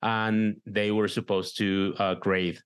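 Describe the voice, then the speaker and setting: A low-pitched, middle-aged man speaks English with a Mexican Spanish accent. He talks casually as an interview guest, stumbling and repeating words while he searches for the right phrase. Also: flat delivery, takes it slowly, clear, resonant tone. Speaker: guest, director and producer.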